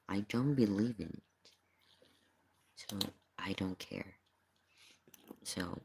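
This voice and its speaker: A streamer giving casual, halting reaction commentary in drawn-out phrases. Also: deep voice, vocal fry, darkish tone, slight background noise, poor audio.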